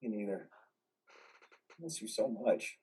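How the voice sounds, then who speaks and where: A man's voice: lowish voice, unhurried, a little mellow, breathy edge, distant mic. A man playing a troubled, defiant war veteran in psychiatric care, earnest and naturalistic in a dramatic play reading. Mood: calmer, hopeful.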